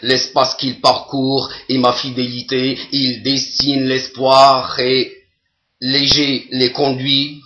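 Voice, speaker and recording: A man giving a solemn poetry recitation in a measured, deliberate way, pausing between phrases. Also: medium pitch, monotone, bright, forceful tone, muffled recording.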